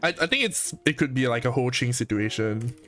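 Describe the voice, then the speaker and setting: A man's voice: mid-range voice, animated, fairly quick, a bit bright. A man from Singapore in casual conversation, with a middle-range voice and faint music in the background. He swears constantly and rambles, often restarting his sentences. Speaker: co-host. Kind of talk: casual chat.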